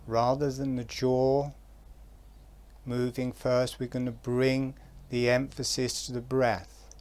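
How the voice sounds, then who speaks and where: A man giving guided relaxation instructions in a soft, calm voice, with long pauses between phrases. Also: mid-range voice.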